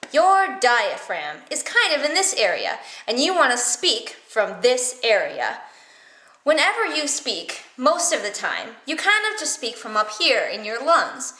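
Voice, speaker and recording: A young woman with a nasal Wisconsin accent teaching acting, enunciating clearly and animatedly, recorded on a camera mic in an echoey room. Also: on the high side, very animated, unhurried, sharp tone. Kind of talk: enthusiastic how-to vlog. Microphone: camera mic across the room. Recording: roomy echo from far camera.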